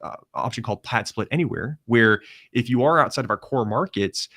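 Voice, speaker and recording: A man from Croatia, a guest on a casual podcast, talking about his company in an upbeat sales manner. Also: fairly bright tone. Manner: upbeat, rapid pitch-style talk.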